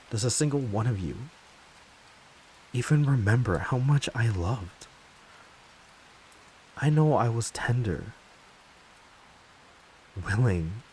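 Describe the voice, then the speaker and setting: A man playing a wounded, betrayed lover turned bitter and vengeful in a slow, deliberate dramatic audio monologue. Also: fairly low pitch, a little mellow, slight background noise, poor audio. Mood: anguished, pleading. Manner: slow, deliberate, brooding, heavy pauses. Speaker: voice actor.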